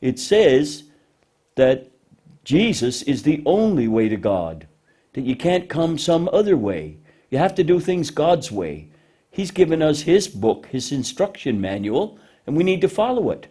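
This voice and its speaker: A man's voice: fairly high pitch, big pitch swings, measured pace, dark tone, husky. A man hosting a children's puppet show, talking in a folksy, instructive way as he gives simple moral lessons.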